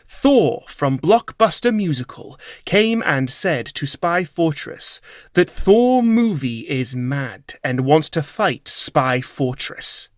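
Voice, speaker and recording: A man reading a story aloud as a voice actor, in a dramatic, theatrical manner that drops at times into hushed asides. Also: fairly high pitch, no echo, studio quality.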